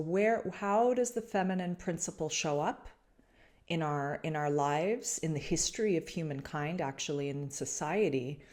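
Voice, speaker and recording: A woman from Canada speaks in a low, unhurried voice, feeling her way through each thought. She restarts phrases and fills pauses as she reflects aloud to her podcast listeners. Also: a little mellow. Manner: slow, searching, many restarts and fillers. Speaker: podcast host. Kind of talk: reflective spiritual talk to listeners.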